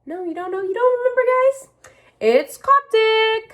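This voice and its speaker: A woman leading children in a memory verse, encouraging and animated. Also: very high voice, moderate pace. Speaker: Sunday school teacher.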